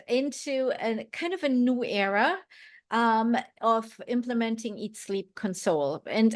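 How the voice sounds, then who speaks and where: A woman, a midwife and host, asking questions with enthusiastic, affirming remarks. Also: medium pitch. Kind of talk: online interview.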